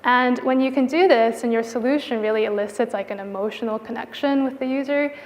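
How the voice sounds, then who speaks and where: A woman's voice: a little high, brisk, a little hiss or hum. A woman giving a conference presentation as a product designer, talking in a casual, conversational way with informal filler phrases. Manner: casual, informal phrasing with frequent "like" and "you know". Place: indoor conference venue. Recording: reverberant hall with long echo.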